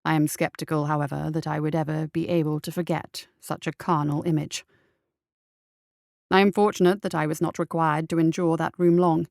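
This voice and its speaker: A woman reading as a haunted private investigator writing home, formal, sombre and measured, in an audio drama. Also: low voice, little pitch movement, gravelly, darkish tone, no room sound, studio quality. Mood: grim, troubled. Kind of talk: audio drama letter narration.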